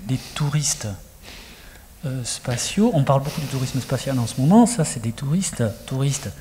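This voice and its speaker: A man's voice: medium pitch, animated, moderate pace, warm tone, slight background noise. A middle-aged man hosting a studio interview, speaking conversationally and steering the talk with questions into a handheld mic. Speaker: host. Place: studio set with screens.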